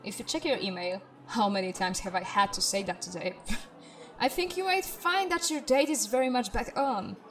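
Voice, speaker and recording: High-voiced wry female spaceship commander played by an actress, music behind. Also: lively intonation, normal speed, fairly bright tone. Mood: pleased, playful. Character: wry female spaceship systems tech, newly made interim commander. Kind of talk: sci-fi comedy audio drama acting.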